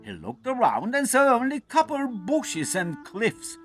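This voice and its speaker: A man narrating a scripted children's fairy tale in a dramatic, deliberate storytelling manner. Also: very high voice, sing-song. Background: music.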